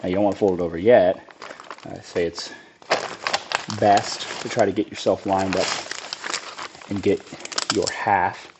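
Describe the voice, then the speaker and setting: A man with a raspy voice from a cold, casually explaining a do-it-yourself build while he works on it. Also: low-pitched, a little slow, long pauses, very noisy. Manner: plain-spoken, relaxed, thinks aloud with restarts. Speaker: lawn care business owner demonstrating a DIY build. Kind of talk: casual how-to narration while working.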